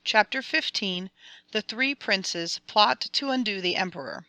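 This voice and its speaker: Woman storybook narrator, bright crisp tone, dry close sound. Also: medium pitch, animated, unhurried, no echo. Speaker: audiobook narrator.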